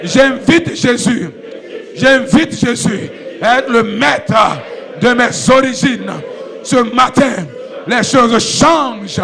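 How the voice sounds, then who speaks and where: A pastor from Ivory Coast leading a church in shouted, repetitive prayer declarations. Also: male voice, very high voice, slow, creaky, a faint crowd. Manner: repetitive, commanding, chant-like. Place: church sanctuary.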